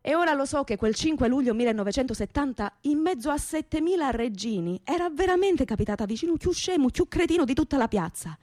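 A woman's voice: high-pitched, expressive, fast, hardly any pauses, darkish tone, no room sound. An actress playing a fiery young working-class woman with a Calabrian southern Italian accent, telling her story colloquially and emphatically in a radio theatre monologue. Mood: fond recollection.

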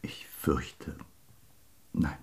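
An actor playing a grave, reluctant family doctor in a German radio drama, halting and sombre. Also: male voice, in a whisper. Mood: grave, regretful.

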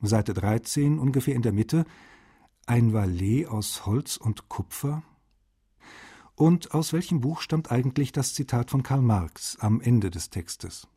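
An actor playing a courteous, meticulous male translator, reading formal letters aloud in a precise, polite, slightly husky voice for a radio feature. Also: medium pitch, lively intonation, unhurried, warm tone.

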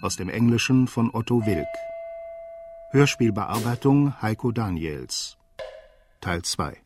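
A man's voice: lowish voice, lively intonation, takes it slowly, over music. A man reading scripted credits for a radio drama. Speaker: announcer.

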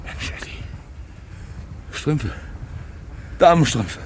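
Hungry petty crook, radio drama, very animated pitch, blustering, excitable. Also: male voice, very slow, slight background noise, exaggerated voice. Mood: excited, awed. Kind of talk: postwar German radio play acting.

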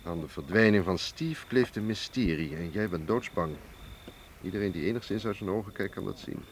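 A man acting a shrewd, burly agent in a radio drama, protective and quietly teasing. His voice is low and his delivery measured and probing. Crickets and night noise sound behind him. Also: close to an everyday voice. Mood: teasing, knowing. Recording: clear noise, outdoor night insect ambience. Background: chirping crickets.

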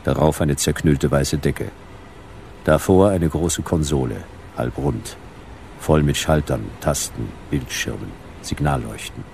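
An actor playing a deadpan narrator of a sci-fi detective radio play, speaking in clipped fragments. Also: male voice, extremely low pitch, unhurried, creaky, a little hiss or hum, heavily acted.

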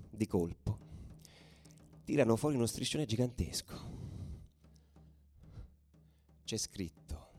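A male actor performs a fiery football ultra telling his story as a radio play monologue. His mid-pitched voice moves through a very wide range, volatile and raw in its wording. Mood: subdued, tense. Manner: colloquial, foul-mouthed, volatile, swinging from hushed to shouted. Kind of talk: dramatic first-person storytelling, radio theatre.

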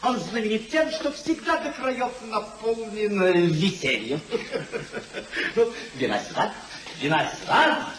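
An actor playing a merry, spendthrift young gentleman in a 1950s radio play, theatrical and exuberant. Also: sits high, lively intonation, unhurried, recorded from a distance, crowd noise. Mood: merry, tipsy. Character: merry spendthrift young English gentleman host, boisterous and carefree. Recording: noisy, echoey 1950s radio play recording.

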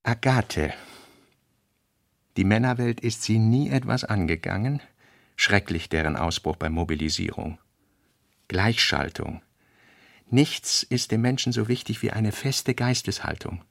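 A man narrating a literary radio drama, reading fragmentary notes in measured, clipped, deliberate phrases. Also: lowish voice, animated, vocal fry, fairly bright tone, no echo, studio quality.

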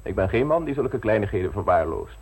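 Cool, smug blackmailer. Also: male voice, fairly low pitch, brisk, husky, exaggerated voice. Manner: calm and cutting. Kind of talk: radio drama acting.

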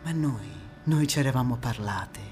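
An actress playing a passionate, resolute woman activist in an emphatic theatrical monologue with dramatic pauses. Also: extremely low pitch, darkish tone, faint music behind. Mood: moved, solemn. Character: passionate, resolute woman activist recounting postwar struggle for women's vote.

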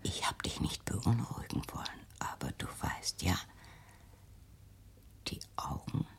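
An actress in a radio play playing an anxious, controlling mother, hushed and insinuating, with a husky, breathy voice. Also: a little slow, whispered, lightly acted. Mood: eerie, conspiratorial.

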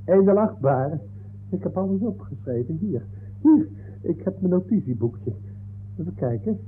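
A man's voice: sits high, animated, moderate pace, a little hiss or hum. A man playing an aggrieved, pedantic football referee testifying formally in a comic radio play. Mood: indignant, fussy. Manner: indignant, formal, fussily consulting notes. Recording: dull 1960s radio recording.

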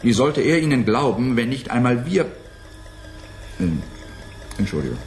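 An actor playing a doubtful, placating and faintly mocking scientist in a 1980s German radio play, with an expressive, questioning delivery. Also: male voice, mid-range voice, moderate pace, soft background music, lightly acted. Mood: cautioning.

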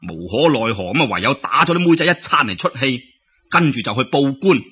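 A man's voice: mid-range voice, big pitch swings, a little fast, husky, muffled recording. A man with a Cantonese accent telling a folk tale in a theatrical, colloquial and exclamatory storytelling style. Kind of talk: dramatic traditional folk-tale narration.

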